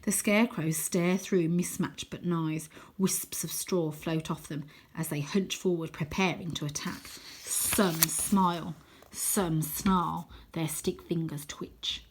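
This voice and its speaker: Expressive woman narrating a children's story. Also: sits low, slight background noise, poor audio. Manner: dramatic, deliberate, dropping to hushed tones for suspense. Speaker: teacher reading aloud.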